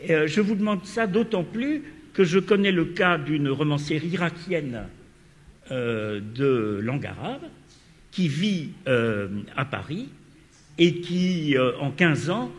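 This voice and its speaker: A man's voice: on the high side, expressive, takes it slowly. A man moderating a literary panel discussion, asking long questions with many hesitations and fillers. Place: lecture hall. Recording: reverberant lecture hall.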